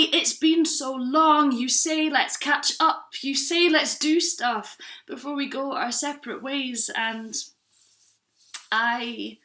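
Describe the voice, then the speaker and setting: A woman's voice: a little high, bright, forceful tone. A woman in her twenties from Scotland performing a spoken word poem with earnest, rhythmic delivery. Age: 20s.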